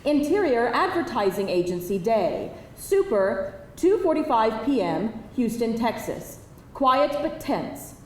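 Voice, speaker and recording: A woman with a fairly high voice narrates a screenplay at a live reading. She delivers scripted stage directions. She sounds distant from the microphone in an echoey room. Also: sing-song, a little slow. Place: indoor live table read before an audience. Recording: distant mic, echoey room, faint noise.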